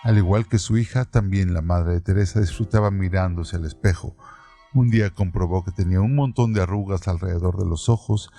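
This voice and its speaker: A man giving a measured, deliberate scripted reading of a literary text as narrator of a radio-theatre piece. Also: sits low, gravelly, warm tone, faint music behind.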